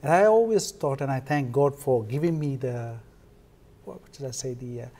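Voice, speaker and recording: A man with a Sri Lankan accent answers as an interview guest. His tone is soft-edged and mellow at a middle pitch, and he pauses mid-sentence as he explains. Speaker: interview guest, foundation co-founder. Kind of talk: televised interview, explanatory talk.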